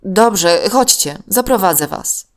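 A woman's voice: medium pitch, animated, slow pace, voice pushed up. A woman voicing a sheepish, evasive young boy in a children's story, speaking hesitantly. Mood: reluctant.

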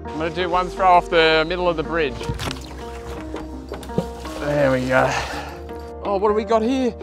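High-voiced, excitable Australian man outdoors. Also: normal speed, over music. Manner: excitable, exclaiming. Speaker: host of a magnet fishing vlog. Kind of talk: casual outdoor vlog chat. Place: outdoors beside a canal. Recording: outdoor noise, traffic and ambience.

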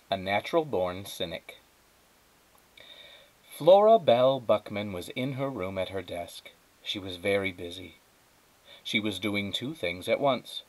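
A man's voice: fairly low pitch, no echo, low-quality recording. A man narrating a children's storybook aloud, as a teacher does. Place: indoors at a wooden table.

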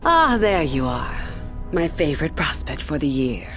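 A woman playing a scheming, ambitious Sith teacher in a video game, with a measured, insinuating, formal manner. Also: sits low, sing-song, a bit bright, faint music behind, heavily acted. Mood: sly conspiratorial. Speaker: academy teacher. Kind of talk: scripted video game acting.

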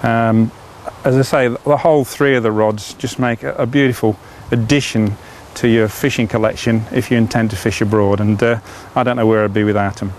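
A man's voice: mid-range voice, steady tone, moderate pace, few pauses, darkish tone, slight background noise. A middle-aged man, chatting enthusiastically as he demonstrates fishing rods, with frequent "uh" fillers. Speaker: fishing tackle presenter. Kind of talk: product demonstration.

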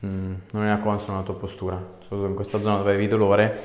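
A man's voice: on the low side, completely flat delivery, racing, darkish tone. A man in his thirties, a chiropractor in a small treatment room, giving a patient short, informal hands-on instructions. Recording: roomy echo, clear background noise, clicks.

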